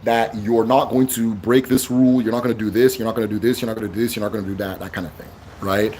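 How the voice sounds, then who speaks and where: A man hosting a livestream, explaining ideas in a loud, emphatic voice and checking in with a frequent "right?". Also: lowish voice, fairly even intonation, quick pace, slight background noise. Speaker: livestream host teaching spirituality. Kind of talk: casual livestream lecture.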